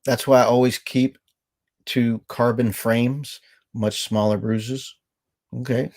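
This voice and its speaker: A man's voice: mid-range voice, little pitch movement, takes it slowly, a little mellow. A nasal man in his fifties, co-hosting a casual livestream from an RV couch, telling stories and reading out viewer comments. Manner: rambling storyteller, reads viewer comments aloud. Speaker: livestream co-host. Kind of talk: casual live chat. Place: living room of an RV, on a couch. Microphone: webcam framing, seated.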